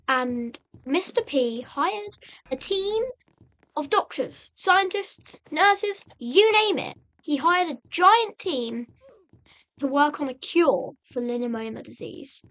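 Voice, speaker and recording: A woman narrates a story recap at a slow, halting pace, building suspense as she goes. Her voice is high and bright, and she leans on repetition and rhetorical questions for drama. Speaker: video narrator and host.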